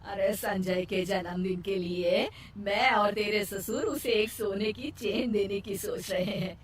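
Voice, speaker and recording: Woman doing an exasperated, slow-talking older mother-in-law. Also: mid-range voice, natural voice. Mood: confiding. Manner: scolding, exclamatory, fed up. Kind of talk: cartoon moral story acting. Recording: roomy, distant mic, faint noise.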